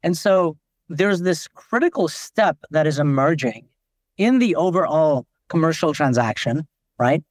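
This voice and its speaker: A man from Nepal speaks as a guest on a business podcast, walking through his ideas with frequent "like" fillers. His voice sits a bit high. The sound is dry and close, with no room echo. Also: animated, measured pace. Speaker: company founder and CEO, guest.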